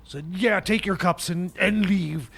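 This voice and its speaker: A man's voice: high-pitched, vocal fry, a bit bright, husky, heavily acted. A man playing a blustering, cranky male time machine mechanic who stammers and stalls constantly in an improvised comedy radio bit. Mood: indignant. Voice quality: stammering, halting. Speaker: recurring comic character.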